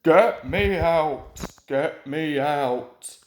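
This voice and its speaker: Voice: medium pitch, drawn-out words, voice pushed down. A man voicing a pompous, grumbling, indignant male steam engine from a children's storybook. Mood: grumbling, stubborn. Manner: indignant, self-important. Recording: roomy home recording with echo.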